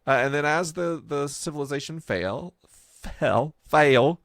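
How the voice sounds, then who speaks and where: A man co-hosting a podcast, explaining casually and off the cuff in a halting, digressive way. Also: a little high, fairly bright tone, no room sound, studio quality. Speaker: podcast co-host and world builder.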